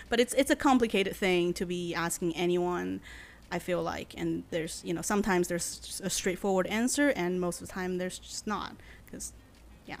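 A woman in her late twenties from China, a native Chinese speaker, answering interview questions thoughtfully with many pauses and fillers. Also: medium pitch. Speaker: guest. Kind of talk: casual interview.

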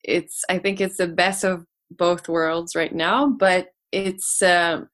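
A woman's voice: fairly low pitch, flat delivery, slow pace, fairly bright tone. Casual female podcast guest; hesitant, restarting often. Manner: hesitant, many restarts and laughs. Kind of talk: casual conversation.

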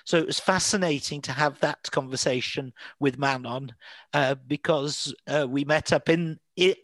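A man's voice: on the high side, a bit bright, dry recording. A man with a Manchester accent, a priest hosting a podcast, talking through past episodes in a thoughtful, rambling way with frequent fillers and restarts. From England. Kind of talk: casual conversational podcast chat.

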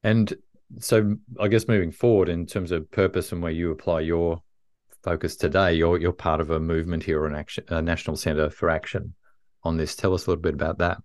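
A podcast host asks curious, halting questions. His voice is recorded dry and close, with almost no room sound around it. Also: sits low, level tone, a little mellow, no room sound. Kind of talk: interview conversation.

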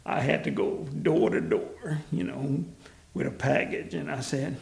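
An older man with a gravelly, strained voice, telling his story in an animated, laughing way. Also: a little high, measured pace, echoey room. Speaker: interviewee, museum founder. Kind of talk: storytelling interview. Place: his museum, indoors.

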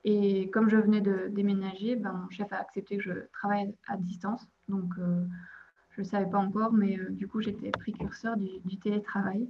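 Flat-voiced Belgian woman, muffled audio. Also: medium pitch, normal speed. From Belgium, province of Liège. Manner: hesitant, many "euh" fillers, laughs lightly. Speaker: podcast guest. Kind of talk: casual interview chat.

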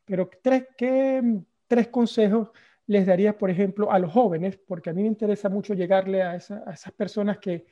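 A woman's voice: mid-range voice, brisk, dark tone. A woman from Latin America with a Latin American accent, hosting a podcast interview in a conversational style. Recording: dull, muffled lo-fi sound.

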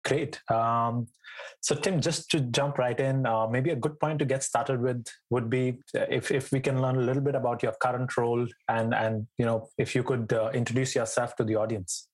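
A man's voice: medium pitch, steady tone, moderate pace. A man hosting a podcast interview, friendly, with many fillers and restarts. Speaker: podcast host.

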